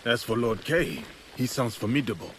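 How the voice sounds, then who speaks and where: An actor playing a grave, principled warrior in feudal Japan for a video game, with measured, formal speech. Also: male voice, medium pitch, expressive, noisy background, lightly acted. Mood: probing, measured. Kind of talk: dramatic video game acting. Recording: music behind the voice.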